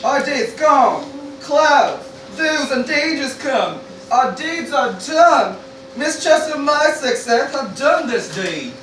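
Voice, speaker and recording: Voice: high-pitched, animated, slow pace, fairly bright tone, full character voice. An actor playing a mournful, earnest little creature messenger, declaiming melodramatically in an amateur Shakespeare radio parody. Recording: very echoey, distant mic, background noise.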